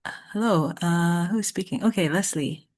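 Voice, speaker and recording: A woman moderating an online meetup, brisk and cordial as she hands over to speakers. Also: fairly low pitch, a little mellow, no room sound, studio quality. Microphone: video call.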